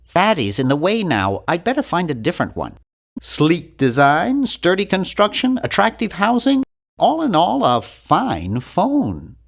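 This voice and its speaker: A man's voice: sits high, huge pitch swings, a little slow, gravelly, full character voice. A man voicing an earnest, timid young male nerd in an adventure video game, with a precise, fussy delivery. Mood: fussy, deadpan. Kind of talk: animated adventure game voice acting.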